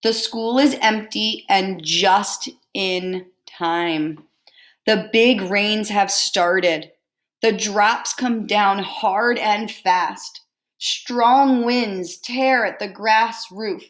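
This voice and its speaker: A woman teacher reading a picture book aloud to children, expressively and deliberately, pausing to put simple questions to them. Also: medium pitch, fairly bright tone. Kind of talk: storybook read-aloud with questions to young listeners.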